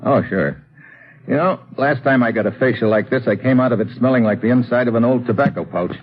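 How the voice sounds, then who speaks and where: An actor playing a tough, wisecracking American secret agent with slangy, hard-boiled delivery in an old-time radio drama. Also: male voice, medium pitch, fairly quick, slightly rough, lightly acted. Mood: wry, easygoing. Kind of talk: old-time radio detective acting and first-person narration. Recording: muffled old radio recording.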